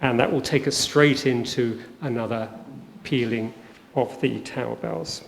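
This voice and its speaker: A man's voice: mid-range voice, measured pace, creaky. A man acting as master of ceremonies, introducing a concert conversationally with many hesitant ums. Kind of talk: informal spoken introduction to a concert. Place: inside a church at a live event. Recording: reverberant church, faint background noise.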